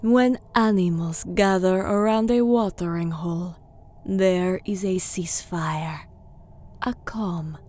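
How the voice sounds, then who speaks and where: Slow, stern alien woman commander played by an actress. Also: fairly low pitch, a little mellow. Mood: contemptuous. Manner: formal, clipped, cold and haughty. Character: proud, stern female alien T'au commander. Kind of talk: audio drama acting.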